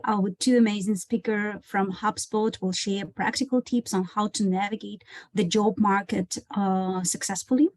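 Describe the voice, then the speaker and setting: Halting woman hosting a webinar. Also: medium pitch, darkish tone, poor audio. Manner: careful and halting, with many fillers. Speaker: program coordinator and webinar host. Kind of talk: webinar introduction.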